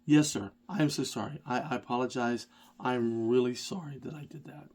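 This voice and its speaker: A man's voice: mid-range voice, steady tone, normal speed, a little mellow, close to an everyday voice. Over-apologetic tipsy young woman, lightly put on by a stuffy-nosed man; polite, embarrassed. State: stuffy head cold.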